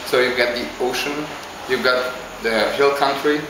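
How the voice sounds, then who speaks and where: A man from Hungary, answering interview questions in a halting, casual way as he searches for words. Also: medium pitch, steady tone, noisy background. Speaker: interviewee, visiting traveler. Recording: very echoey with clear background ambience.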